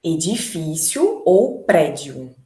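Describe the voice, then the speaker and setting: Slow Brazilian woman tutoring. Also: sits low, sing-song, dark tone, roomy sound. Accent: Brazilian Portuguese. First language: Portuguese. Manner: hesitant, searching for English words. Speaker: language tutor. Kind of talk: conversational language lesson.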